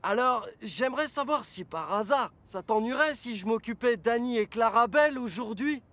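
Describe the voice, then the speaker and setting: A man's voice: very high voice, lively intonation, normal speed, a bit bright, full character voice. A man voicing a vain, good-natured little locomotive in a French cartoon dub, with childlike, exclamatory delivery. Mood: cheerful.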